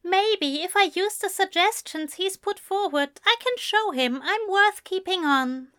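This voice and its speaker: A woman voicing a sad, gentle male author with a genteel, old-fashioned politeness, reading from a story. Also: extremely high pitch, a little slow, no room sound, studio quality, pitched above the performer's own voice. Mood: wistful, resigned.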